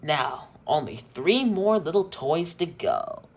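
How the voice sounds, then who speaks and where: A man voicing a sadistic male toy villain who taunts slowly and menacingly. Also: on the high side, big pitch swings, vocal fry, pitched above the performer's own voice, heavily acted. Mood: taunting.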